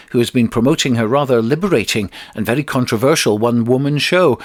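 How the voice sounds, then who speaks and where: Brief: male radio presenter reading a link, measured delivery, dry close studio sound. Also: mid-range voice, no echo.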